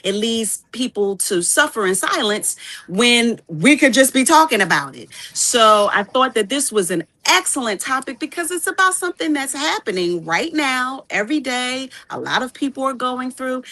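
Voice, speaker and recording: A woman's voice: sits high, bright, forceful tone, dry recording. A woman, a reverend and actress, giving articulate, emphatic answers in an interview. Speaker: ordained reverend and actress, guest.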